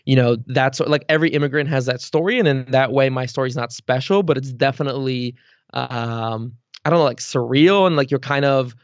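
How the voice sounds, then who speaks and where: A man's voice: mid-range voice, fairly quick, no room sound, dull audio. A man in his thirties, born in Bulgaria, talking loosely as a podcast guest and leaning on fillers like "like" and "you know.". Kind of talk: casual interview chat.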